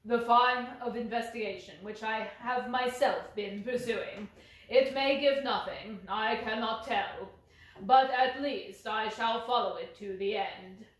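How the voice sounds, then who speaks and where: Brisk, exacting male detective for a story reading, voiced by a woman, higher register, strong room echo. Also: close to an everyday voice. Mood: measured disapproval. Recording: very echoey room.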